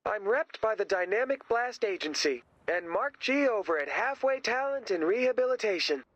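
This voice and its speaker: A cocky rock drummer from a video game, fronting his own show. His pitch swings widely as he promotes himself with grandiose, dramatic showmanship. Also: animated, normal speed, fairly bright tone, no room sound, muffled recording. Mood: boastful. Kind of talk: video game voice acting as a showy TV presenter.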